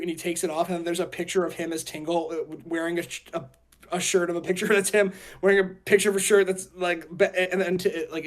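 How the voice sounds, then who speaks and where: A man hosting a casual group chat in an excitable, jokey, slangy way, often repeating phrases for emphasis. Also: high voice. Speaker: podcast host.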